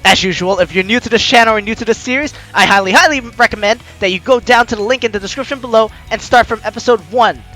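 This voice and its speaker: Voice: medium pitch, fast, few pauses, pushed and bright, rough recording. A let's player giving jokey, teasing commentary and reading a game's story aloud, with game music behind. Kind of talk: let's play commentary and read-aloud narration.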